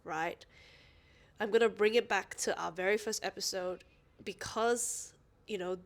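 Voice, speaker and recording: Woman, 30s, podcast co-host, mid-pitched, slightly stuffy, rambling conversational delivery. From Australia. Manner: rambling, self-interrupting, quick to laugh. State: a little sniffly. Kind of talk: casual chat.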